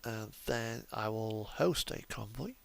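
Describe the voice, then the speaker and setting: Male game streamer, unhurried and gravelly, hesitant off-the-cuff commentary, murmuring as he thinks aloud. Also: lowish voice. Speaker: livestreamer, video game host. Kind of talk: casual unscripted gameplay commentary.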